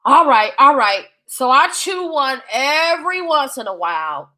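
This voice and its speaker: Sassy, wry family dog for a storybook , played by a woman. Bright, lively, cheeky. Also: high voice, measured pace, full character voice, low-quality recording. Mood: indignant, sassy. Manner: folksy, cheeky asides. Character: sassy, wry pet dog narrating the tale.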